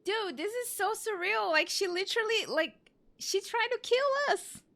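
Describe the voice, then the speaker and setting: A woman's voice: very high-pitched, lively intonation, moderate pace, vocal fry, fairly bright tone. A woman streamer giving casual, rambling reaction commentary while she plays a video game. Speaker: gaming streamer. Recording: game music behind the voice.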